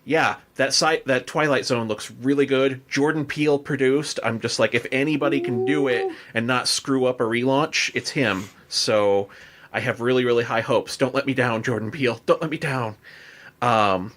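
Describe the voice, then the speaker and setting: A man's voice: mid-range voice, normal speed, fairly bright tone. An American man hosting a podcast, chatting casually in an informal, rambling way. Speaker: podcast host.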